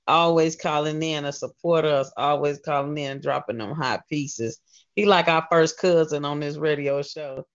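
A woman's voice: low voice, fairly even intonation, normal speed, dull audio. A woman hosting a radio show in a casual, effusive, slangy way.

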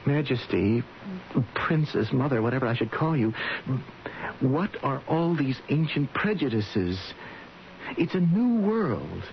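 A man's voice: medium pitch, very animated, measured pace, pauses often. A man playing an earnest, defiant young suitor in a radio drama. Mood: pleading, impassioned. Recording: dull, hissy vintage radio broadcast.

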